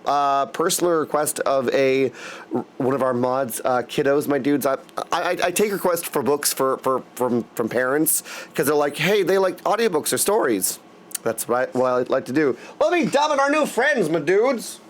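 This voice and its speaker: A man's voice: a little high, animated, normal speed. A man chatting casually with viewers as he hosts a game livestream, hopping between replies and catchphrases. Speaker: livestream host playing a video game; voice actor and audiobook narrator. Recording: music playing behind the voice. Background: music.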